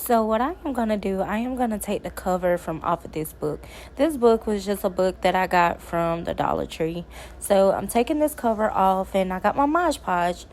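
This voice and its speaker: A woman's voice: mid-range voice, darkish tone. Woman, slightly stuffy cold voice, friendly how-to chatter, light background noise. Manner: chatty, addresses viewers as y'all. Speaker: craft video creator. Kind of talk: casual DIY tutorial chat.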